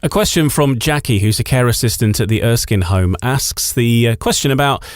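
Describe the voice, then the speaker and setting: A man's voice: mid-range voice, normal speed, dry recording, clean studio sound. A man hosting a radio interview, putting listeners' questions to his guest. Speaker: radio host.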